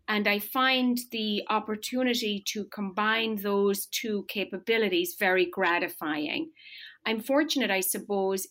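A middle-aged woman speaks as an interview guest, her voice at an ordinary pitch. She talks in a measured, articulate and polished way. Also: fairly bright tone. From Ireland. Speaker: marketing executive guest. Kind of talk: podcast interview.

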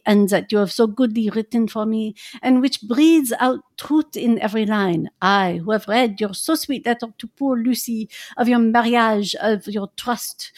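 A woman voicing an earnest, effusive old Dutch professor who speaks in broken, formal English. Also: a little high. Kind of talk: reading a novel aloud in roles.

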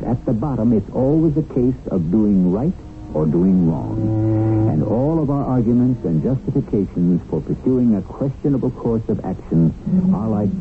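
A man hosting a radio drama with scripted, philosophical narration, measured and ponderous, with dramatic emphasis. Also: lowish voice. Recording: narrow old radio recording, loud music.

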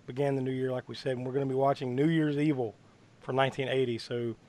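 A man hosting a horror movie podcast, hoarse from bronchitis, talking in a rambling way with many fillers and restarts. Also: medium pitch, little pitch movement, quick pace, a little mellow. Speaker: podcast host. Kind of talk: casual podcast chat.

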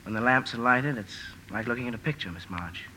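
Man acting a bright-voiced, bashful young gentleman. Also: mid-range voice, fairly quick, natural voice. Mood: wistful. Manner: hesitant, stammering, courteous. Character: polite, lonely, bashful well-bred young man. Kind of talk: 1950 radio drama acting. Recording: noisy vintage 1950 radio broadcast.

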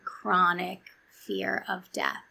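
A woman speaking as a psychologist guest in an interview, calm and soft-spoken, sometimes sinking to a near whisper. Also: on the low side, takes it slowly, vocal fry, low-quality recording. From New York City. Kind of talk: reflective interview talk.

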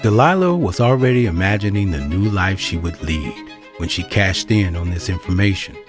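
A man's voice: sits low, expressive, moderate pace, over music. A man narrating an audio drama as a storyteller with wry asides.

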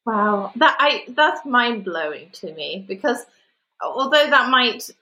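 A woman's voice: a little high, big pitch swings, takes it slowly, sharp tone, roomy sound. A woman hosting an interview podcast, enthusiastic and encouraging, with many "like" and "you know" fillers. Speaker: podcast host. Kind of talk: interview conversation.

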